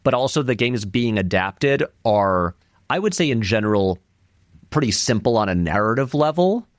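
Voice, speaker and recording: A man's voice: medium pitch, expressive, normal speed, dry recording, studio quality. A man speaking casually as an interview guest, thinking aloud as he builds his points. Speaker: podcast guest, film essayist.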